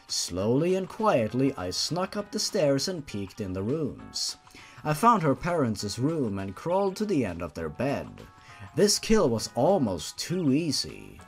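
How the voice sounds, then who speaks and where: A man reading a horror fan fiction story aloud with theatrical, exaggerated emphasis. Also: mid-range voice. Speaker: narrator. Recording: music behind the voice. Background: music.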